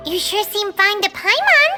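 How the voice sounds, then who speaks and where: A woman voicing a tiny floating female companion in a game dub, talking in high, squeaky, excitable chatter. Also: normal speed. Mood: chirpy. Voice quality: high squeaky chatter, calls herself by name. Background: game music.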